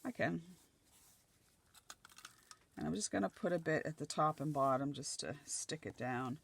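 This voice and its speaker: A middle-aged woman from Nova Scotia, chatting casually as she works on a craft project, her voice slightly croaky and dry. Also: deep voice, animated, moderate pace. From Nova Scotia, Canada. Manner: chatty, thinking aloud while gluing collage pieces. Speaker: craft channel host.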